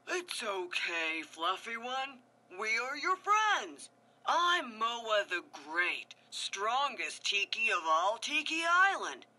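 A woman voicing a big, boastful, muscle-proud male tiki who talks in a slow drawl. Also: a little high, sing-song, bright, forceful tone, heavily acted.

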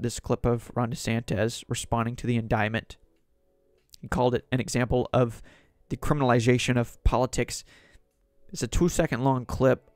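Hoarse man reading news aloud. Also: medium pitch, no echo. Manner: rambling, conversational, reads articles aloud. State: sore throat, losing voice. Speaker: podcast host. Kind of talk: solo political commentary.

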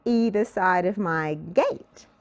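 A vain little cartoon lady from a children's storybook , played by a woman. She declares things slowly and grandly, full of self-importance. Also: fairly low pitch. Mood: self-important.

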